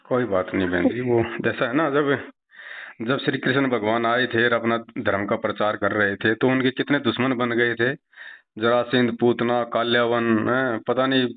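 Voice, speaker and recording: A middle-aged man, explaining things respectfully and reassuringly in conversation. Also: medium pitch. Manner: respectful, repeatedly addresses the listener. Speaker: religious devotee, video preacher. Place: phone call. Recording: tinny, narrow phone line.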